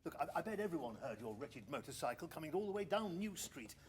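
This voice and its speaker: An actor playing an exasperated, sardonic English army captain in a radio play, dry, clipped and sarcastic. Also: male voice, on the high side, very animated, fast, breathy edge, full character voice. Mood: irritated, sarcastic.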